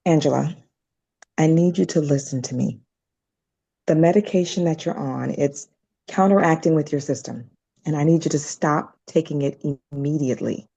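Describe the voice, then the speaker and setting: A woman playing a concerned, firm doctor in a drama table read, speaking in a measured, earnest way. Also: low-pitched, vocal fry, warm tone. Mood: calm, pleading.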